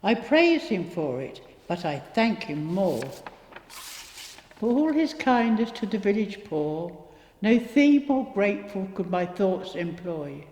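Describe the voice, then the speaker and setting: A woman reciting rhyming poetry in a measured reading style. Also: mid-range voice, sing-song, a little mellow. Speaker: poetry reader. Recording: echoey hall with long reverb.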